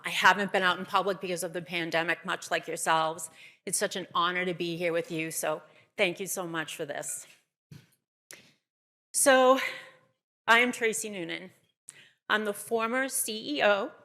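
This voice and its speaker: A woman's voice: medium pitch, bright, forceful tone. A middle-aged woman with a Boston accent, telling her story as a keynote speaker to an audience.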